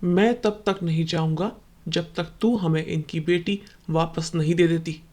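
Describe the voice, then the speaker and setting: A woman voicing a solemn male holy man with a grave, deliberate, fatherly manner in a horror cartoon story. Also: lowish voice, fairly even intonation, warm tone. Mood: defiant.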